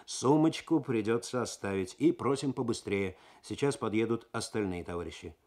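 A man's voice: on the low side, normal speed, darkish tone, lightly acted. A man voicing a burly, stern fake policeman in a radio story, official and mocking in manner.

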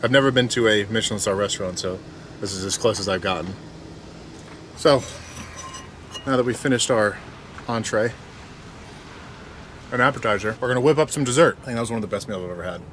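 A man's voice: fairly low pitch, fairly quick, long pauses, a bit bright, slight background noise. A young man in his twenties hosting a casual vlog from inside a camper van, talking into a handheld action camera and sounding eager and easily impressed. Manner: enthusiastic, easily impressed, laughs often. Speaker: vlogger host. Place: inside a camper van kitchen, parked outdoors. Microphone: action camera held at arm's length.

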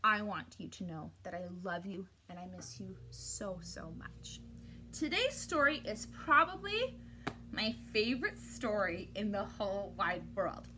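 A woman's voice: medium pitch, big pitch swings, unhurried, bright, forceful tone. A woman in her thirties reading a picture book aloud to children like a teacher, with exaggerated, theatrical, playfully exasperated delivery. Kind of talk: animated children's story read-aloud. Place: small indoor room at home. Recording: noticeable background noise, home recording.

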